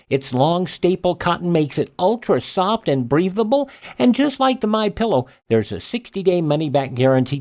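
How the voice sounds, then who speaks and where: A man hosting a radio program, talking in an announcer-like way as he reads out items and pitches. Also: a little mellow. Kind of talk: radio hosting and live-read ads.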